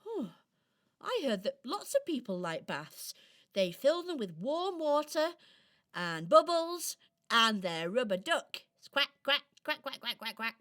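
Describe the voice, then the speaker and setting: Woman playing an eager, high-voiced young toy girl. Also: sing-song, unhurried, fairly bright tone, exaggerated voice. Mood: bright, sympathetic. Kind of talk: children's radio play acting.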